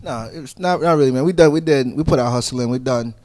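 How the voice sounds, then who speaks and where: A man's voice: medium pitch, fast talker, a little mellow. A man from Brooklyn, a rapper guesting in a studio, talking confidently in hype street slang. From Brooklyn, New York.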